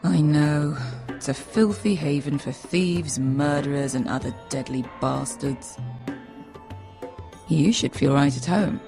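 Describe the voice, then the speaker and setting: A woman's voice: low voice, takes it slowly, vocal fry, over music, exaggerated voice. An actress playing a brash, cynical female mercenary in a video game, blunt, sardonic and foul-mouthed in manner. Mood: wry. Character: brash, cynical female sellsword, loyal but greedy. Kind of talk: video game character acting.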